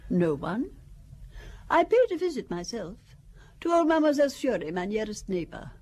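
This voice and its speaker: Genteel old lady, lively and high. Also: moderate pace. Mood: chatty, coaxing. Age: older. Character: genteel, hospitable old lady, nervously talkative. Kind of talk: audio drama acting.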